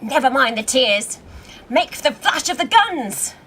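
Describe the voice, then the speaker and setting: A woman in a radio play acting a wry, seasoned male French army commander, with a measured, commanding theatrical delivery. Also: high-pitched, sing-song, fairly bright tone, husky, heavily acted. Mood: rousing.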